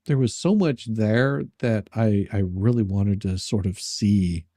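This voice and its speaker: Guy rambling on a livestream, kinda husky low voice, dry close sound, jokes around crudely. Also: measured pace, dark tone, no echo. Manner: rambling, repeats words, crude joking. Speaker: livestream host. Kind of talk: casual livestream chat.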